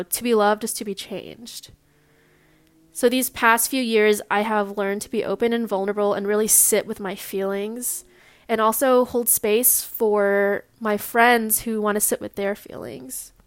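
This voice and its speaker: A woman's voice: medium pitch, clean tone, dry recording, studio quality. A woman in her twenties with a Californian American accent, hosting a casual personal podcast in a candid, informal, slightly nervous manner. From Long Beach, California, US. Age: 20s. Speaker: podcast host.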